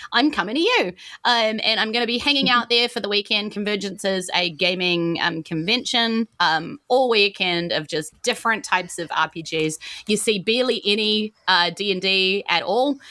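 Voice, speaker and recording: Female podcast host, New Zealand accent, bright and forward, gushing and chatty, close dry sound. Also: mid-range voice, no echo. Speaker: podcast host and game master. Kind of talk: casual podcast sign-off and announcements.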